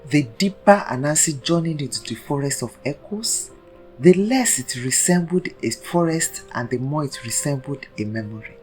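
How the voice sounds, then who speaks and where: A woman storyteller narrating a scripted folktale in a dramatic, deliberate manner. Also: low-pitched, expressive, darkish tone, faint music behind.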